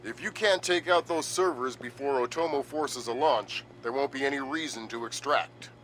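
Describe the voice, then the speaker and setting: An actor playing a grave spy commander in a video game, briefing in a clipped, authoritative, wry manner. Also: male voice, mid-range voice, moderate pace, a bit bright, a little hiss or hum, close to an everyday voice. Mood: urgent, grave.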